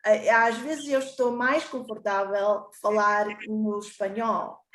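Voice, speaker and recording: A woman on a panel, speaking slowly and searching for words, with audible effort. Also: medium pitch, fairly even intonation, a bit bright, reverberant, poor audio. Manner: slow and searching, speaking a second language with effort. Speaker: panel guest. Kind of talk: online panel interview.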